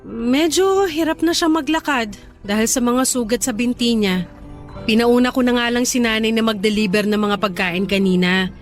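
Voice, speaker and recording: Gentle girlfriend, fairly high voice, woman acting. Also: moderate pace, soft background music, lightly acted. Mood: caring, everyday. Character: caring young girlfriend who delivers home-cooked meals. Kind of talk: Tagalog radio drama dialogue.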